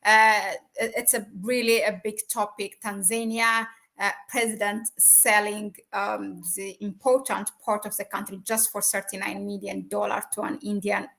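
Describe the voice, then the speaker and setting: A woman's voice: mid-range voice, little pitch movement, moderate pace, vocal fry, a bit bright, low-quality recording. A woman from Ethiopia, a native Amharic speaker, hosting a casual live stream chat and talking with many hesitant fillers.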